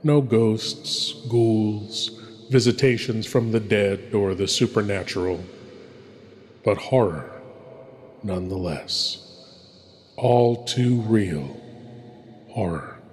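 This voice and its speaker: A man hosting a horror show, delivering a theatrical scripted introduction with ominous, dramatic pauses. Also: lowish voice, darkish tone. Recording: long reverb, music behind. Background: music.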